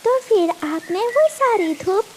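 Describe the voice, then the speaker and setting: A woman's voice: extremely high pitch, animated, slow pace, full character voice. A woman voicing a curious, eager young daughter bird in a children's cartoon. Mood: curious, concerned. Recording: faint background noise.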